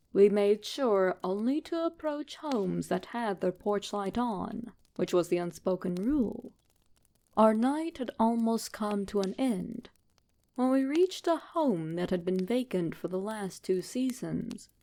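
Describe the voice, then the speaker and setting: A woman reading a scripted horror story as a narrator, in a measured, dramatic manner. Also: mid-range voice, dark tone.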